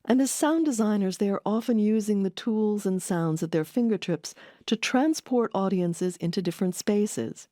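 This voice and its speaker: A woman's voice: medium pitch, moderate pace, warm tone, no echo, studio quality. A woman reading scripted narration as the host of a documentary podcast.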